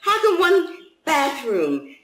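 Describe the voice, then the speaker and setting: A woman's voice: high-pitched, wildly swooping pitch, slow, a bit bright, pitched above the performer's own voice, exaggerated voice. A woman voicing bewildered, dismayed refugees in a story, asking questions in a raised, emphatic voice. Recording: echoey room.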